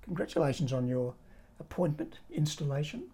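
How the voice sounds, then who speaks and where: Very deep, husky woman host. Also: very animated, dark tone. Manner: measured, halting questions. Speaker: podcast host. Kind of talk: podcast interview.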